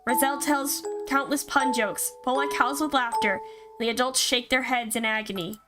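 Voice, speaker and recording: High-pitched woman streaming a game. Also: fairly even intonation, moderate pace. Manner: reacts aloud, swears casually. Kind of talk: reading visual novel text aloud with casual live commentary. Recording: music behind the voice. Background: game music.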